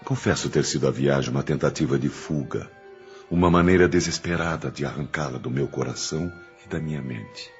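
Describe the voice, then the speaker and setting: An actor playing an obsessive suitor voicing a love letter with brooding, ardent deliberation in an audio drama. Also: male voice, very deep voice, fairly quick. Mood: obsessive longing. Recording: muffled audio drama with music.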